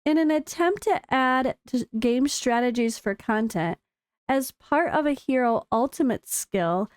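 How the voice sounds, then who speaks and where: High, slightly hoarse American woman gamer. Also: measured pace, dry recording, clean studio sound. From United States. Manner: chatty and excitable, with frequent fillers. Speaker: gaming content creator. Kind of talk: casual commentary reading developer notes.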